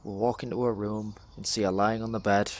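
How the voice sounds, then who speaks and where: A young man performs a dramatic spoken word monologue in a measured, deliberate storytelling way, pausing between phrases. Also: on the low side, little pitch movement. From England. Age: young adult.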